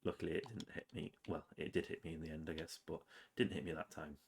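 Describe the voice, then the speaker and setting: A man's voice: rumbling low, fast, creaky, a little hiss or hum. A man with a cold, casually narrating his game's progress over gameplay with plenty of ums and uhs. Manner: relaxed, rambling with many ums and uhs. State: has a cold, sniffly. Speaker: game developer.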